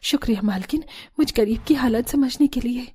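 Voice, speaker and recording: A woman's voice: a little high, normal speed, a little mellow, breathy edge. A woman playing poor, caring family women in a Hindi cartoon story, earnest and pleading. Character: poor, pleading, caring mother-in-law and daughter-in-law.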